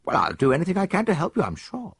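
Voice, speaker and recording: An earnest young army captain with a light, raised voice that swings widely up and down as he answers in quick, clipped bursts, as an audiobook reader puts him on. A touch of gravel catches the edges of his words, and the room around him is dry and close. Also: sits high, wildly swooping pitch, dry recording, pitched above the performer's own voice, full character voice. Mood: eager. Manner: eager, slightly nervous, clipped British officer talk.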